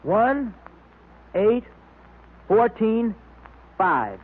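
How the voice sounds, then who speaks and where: A performer playing an eager, earnest boy club leader on a vintage radio show, speaking deliberately. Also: high voice, sing-song, husky, full character voice. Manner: deliberate, reads out codes word by word. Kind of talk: 1930s radio club announcements. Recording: tinny old radio recording.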